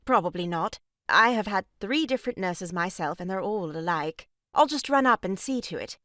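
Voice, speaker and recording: A woman's voice: medium pitch, lively intonation, normal speed, fairly bright tone, dry recording, clean studio sound, natural voice. An actress playing a self-important, condescending mother in a theatrical audio farce, politely competitive in manner. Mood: fussy insistence.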